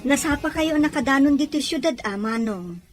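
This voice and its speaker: A woman's voice: high-pitched, expressive, moderate pace, over music. A woman playing a welcoming, helpful aunt in an Ilocano audio drama.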